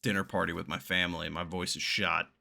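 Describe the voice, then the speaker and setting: A man giving casual, excitable reaction commentary while playing a video game, swearing freely. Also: low-pitched, brisk, gravelly. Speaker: game streamer reacting to a first playthrough. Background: faint game music.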